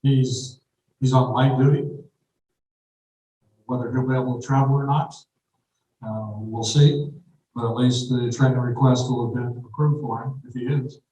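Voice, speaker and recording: A man chairing a city council meeting, calling votes and reading agenda items in a brisk, procedural way. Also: mid-range voice, steady tone, warm tone. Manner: brisk procedural, quickens when explaining. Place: council auditorium. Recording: echoey hall from far off, faint noise.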